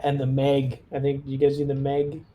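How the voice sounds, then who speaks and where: A man's voice: mid-range voice, monotone, normal speed, crowd noise. A man chatting casually as he plays a tabletop roleplaying game, giving orders while thinking aloud. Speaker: player leading a tabletop game squad.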